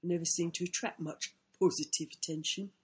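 A woman's voice: on the low side, steady tone, fairly quick, breathy edge. A woman voicing a timid, puzzled small male animal musing plaintively in a storybook tale.

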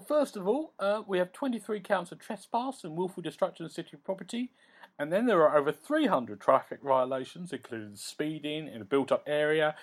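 A man voicing a nervous, fussy male city lawyer who stammers and talks fast. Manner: stammering, high and hurried.

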